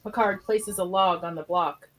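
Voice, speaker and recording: A woman's voice: fairly low pitch, fairly even intonation, moderate pace, heavy background noise. A woman reading stage directions as narrator in a plain, matter-of-fact way. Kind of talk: scripted narration of a live script read.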